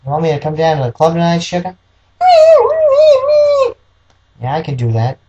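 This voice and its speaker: A man playing a determined, smooth-talking noir detective hero with terse, slangy speech in a radio play. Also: a little high, very fast, highs cut off, background noise, lightly acted. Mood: relieved.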